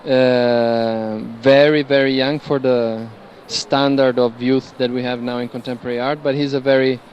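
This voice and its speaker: A man's voice: mid-range voice, level tone, normal speed, darkish tone, highs cut off. A man talks informally as a guide explaining artworks. He searches for his words as he goes. Busy ambient noise of a fair hall sits behind him. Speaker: curator guiding an art fair tour. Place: large indoor art fair hall.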